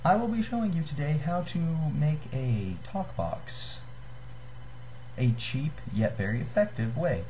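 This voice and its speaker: A man's voice: big pitch swings, measured pace, warm tone. A young man giving a casual, unscripted tutorial to a webcam in a small room, in a hesitant, apologetic, self-deprecating manner. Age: young adult. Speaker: DIY video maker giving a tutorial. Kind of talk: casual unscripted how-to talk. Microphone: built-in webcam at desk distance. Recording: noisy low-grade webcam microphone.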